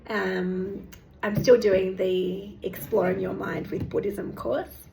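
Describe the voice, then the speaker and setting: A woman, hosting a casual vlog update, rambling and chatty with lots of fillers and restarts, her voice going hoarse. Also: mid-range voice, fairly even intonation, slow, a little mellow. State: losing her voice. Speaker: meditation teacher and channel host. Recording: echoey room with faint background noise.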